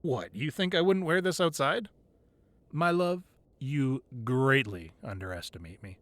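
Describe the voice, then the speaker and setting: Playful, lively gang-leader boyfriend, acted by a man. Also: medium pitch, no room sound. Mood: teasing, affectionate. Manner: playful mock-grumbling, soft asides, hesitant pauses. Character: tough male gang leader boyfriend, teasing and tender at home. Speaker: ASMR roleplay voice actor. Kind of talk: intimate ASMR boyfriend roleplay acting. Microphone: close microphone, dry.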